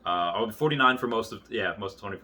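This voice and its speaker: A man in his early thirties delivers an unscripted, casual monologue. His voice sits a little low but has a bright, forceful edge. He rambles and stops midway through thoughts, then picks them up again. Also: animated. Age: early 30s. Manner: rambling, halting, circles back on thoughts. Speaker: YouTuber.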